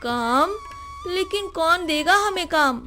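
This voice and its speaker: A woman voicing a poor, worried orphan boy in an animated moral story, plaintive and questioning. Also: extremely high pitch, slow pace, exaggerated voice. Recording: music behind the voice.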